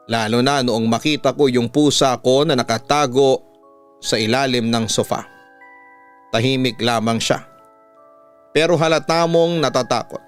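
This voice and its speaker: A man with a Filipino accent reads a listener's horror story letter as a radio storyteller, in a measured way with deliberate pauses. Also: mid-range voice. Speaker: radio announcer and host reading listener letters. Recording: background music under the voice. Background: instrumental music.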